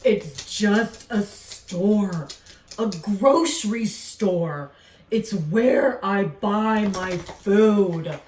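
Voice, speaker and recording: Woman voicing a grumpy, exasperated storybook alligator, loud, echoey. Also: mid-range voice, drawn-out words, slight background noise. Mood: defensive, annoyed. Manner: loud, indignant, emphatic. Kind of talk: storybook character voice in a read-aloud. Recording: echoey room, distant from mic.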